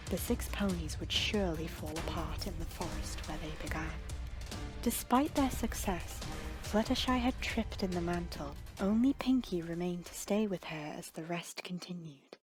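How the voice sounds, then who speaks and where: A woman narrates a dramatic story in a fairly low voice. Her reading is scripted, and loud music plays behind her. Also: expressive, normal speed.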